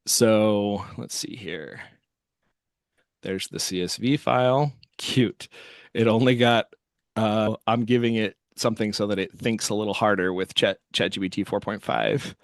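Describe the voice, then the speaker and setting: A man giving a casual software walkthrough as host, thinking aloud as he works. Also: on the low side, steady tone, a little slow, creaky, dry recording, clean studio sound. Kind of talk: casual screen-share walkthrough.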